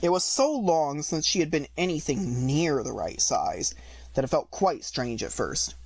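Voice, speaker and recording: A woman reading a storybook aloud as an audiobook narrator, in an expressive scripted style. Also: low voice, a little slow, slightly rough, no echo.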